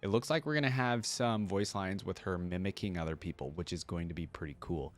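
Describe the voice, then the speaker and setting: A man's voice: low-pitched, fairly quick. A man hosting a reaction video, giving informal, chatty, easily amused commentary.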